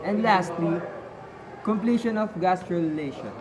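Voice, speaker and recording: A man's voice: high-pitched, measured pace, crowd noise. A man playing a doctor who explains science in a scripted school puppet show. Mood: explaining.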